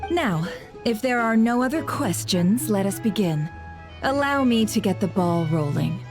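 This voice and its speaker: A woman playing a poised female host of a drinking game who announces in a smooth, formal way, with game music behind. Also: mid-range voice, animated, measured pace. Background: game background music.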